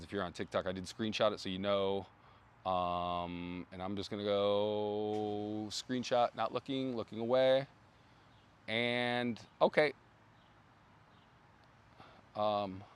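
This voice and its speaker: A man hosting a casual brand livestream outdoors, rambling with many fillers and restarts, his voice going hoarse. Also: fairly low pitch. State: losing his voice. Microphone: phone.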